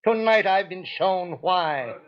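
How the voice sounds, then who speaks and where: An actor playing a repentant, newly generous town boss in a 1930s radio drama, fervent and declamatory. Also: male voice, high-pitched, animated, slow pace. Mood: fervent, elated. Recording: dull 1930s radio recording.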